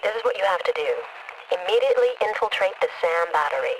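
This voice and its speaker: A woman's voice: medium pitch, fast talker, slight background noise, lightly acted, rough recording. A woman playing a businesslike military handler in a game, giving clipped, formal, authoritative orders. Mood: firm. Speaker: mission controller. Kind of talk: scripted military briefing.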